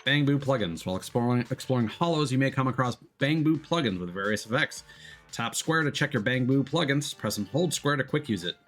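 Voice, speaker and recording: A man's voice: mid-range voice, expressive, fairly bright tone. Man rambling through a game stream. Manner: rambling, halting with repeated filler words. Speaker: VTuber streamer. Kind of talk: casual let's play commentary, reading game text aloud. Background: faint game music.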